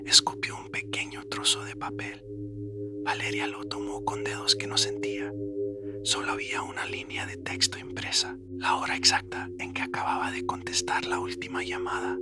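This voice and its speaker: Low, whispering male horror narrator over music. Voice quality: whispery, breathy. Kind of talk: scripted horror story narration. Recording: loud music bed under the voice. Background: loud atmospheric music.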